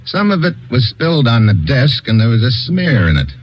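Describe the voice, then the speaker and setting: A man's voice: medium pitch, big pitch swings, normal speed, natural voice. An actor playing a tough, wisecracking male agent in a 1950s radio drama, dry and confident. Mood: wry. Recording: dull old radio broadcast.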